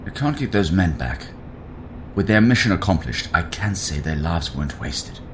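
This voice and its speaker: An actor playing a stern but fair military starship captain in a sci-fi audio drama, with a clipped, authoritative delivery. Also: male voice, low voice, sing-song, normal speed, slight background noise. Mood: measured approval.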